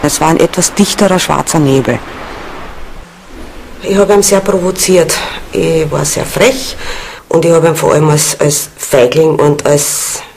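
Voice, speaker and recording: A woman's voice: low-pitched, fairly even intonation, measured pace, creaky, darkish tone. A woman recounting her own experience as a paranormal investigator. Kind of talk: personal account. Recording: noisy location sound.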